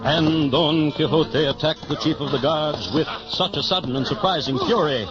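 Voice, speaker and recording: A man's voice: fairly high pitch, normal speed, over loud music, lightly acted. A man giving scripted storybook narration in the style of a 1950s radio drama. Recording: muffled, hissy old radio recording.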